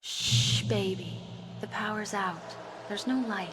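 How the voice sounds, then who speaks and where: Actress as eerily sweet wife, coaxing, hushed delivery, mid-pitched, game cutscene, loud rumbling noise. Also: female voice, takes it slowly, natural voice. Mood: soothing. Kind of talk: video game drama acting.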